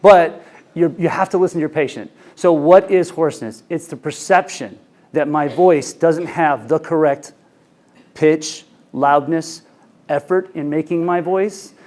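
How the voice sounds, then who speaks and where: A man's voice: on the high side, a little slow, darkish tone. Man lecturing to clinicians. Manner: conversational, anecdotal, frequent fillers. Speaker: ENT physician lecturer. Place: conference room with audience. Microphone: handheld microphone.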